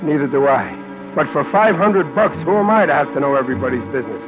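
A man's voice: a little high, expressive, fast talker, natural voice. An actor playing a brash small-time tough guy in a 1940s radio drama, wisecracking in streetwise slang. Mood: wry storytelling. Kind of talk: 1940s radio drama acting and first-person narration. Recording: dull vintage radio recording with music behind.

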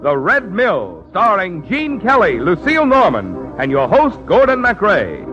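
A man's voice: sits high, wildly swooping pitch, unhurried, pushed and bright, faint music behind. A man announcing a 1940s radio show in a grand, declamatory style. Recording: muffled vintage 1940s radio broadcast.

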